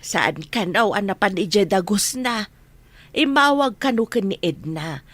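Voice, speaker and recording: A woman's voice: mid-range voice, animated, a little slow, fairly bright tone, no room sound. A woman acting in a radio drama as an outspoken, fretful village woman who nags and advises. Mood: worried, complaining.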